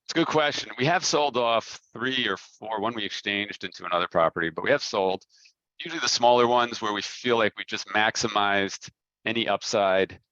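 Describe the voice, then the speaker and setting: Man, sharp lowish voice, halting and repetitive, informal business talk, dry dull call audio. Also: dry recording. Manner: halting, repeats and rephrases words. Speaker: company head answering investor questions. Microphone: conference call.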